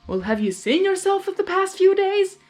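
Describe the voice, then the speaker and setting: A woman's voice: extremely high pitch, very animated, normal speed, a little mellow, soft background music, voice pushed up. A woman voicing the living mirror reflection of a male chaos creature in a story, mocking and needling.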